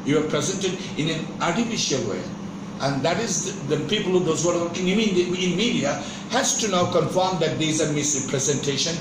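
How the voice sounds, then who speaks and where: A man gives a slow, measured lecture, repeating himself and restarting phrases as he goes. His voice sits a little high and comes from across the room, thin and distant. An air conditioner hums under an echoey classroom sound. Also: animated, background noise. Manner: measured, repetitive, stops and restarts. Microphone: far room microphone.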